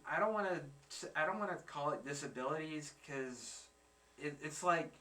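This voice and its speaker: A young man in his twenties hosts a casual reaction chat, halting and searching for his words as he works through an idea. His voice is very bright and sharp and sits a little high. He sounds set back from the microphone. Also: animated, recorded from a distance, low-quality recording. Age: 20s. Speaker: reaction channel host.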